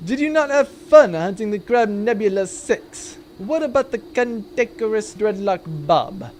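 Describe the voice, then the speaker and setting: A man's voice: sits high, expressive, a little slow, a little mellow, slight background noise, voice pushed up. A man voicing a haughty, condescending villain with an arch, wordy manner in a comic sci-fi audio drama. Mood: needling, condescending.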